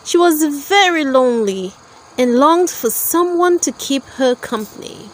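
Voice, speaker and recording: Slow, high-pitched woman reading a storybook. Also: lively intonation, rough recording. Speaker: storybook narrator. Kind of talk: read-aloud children's story narration.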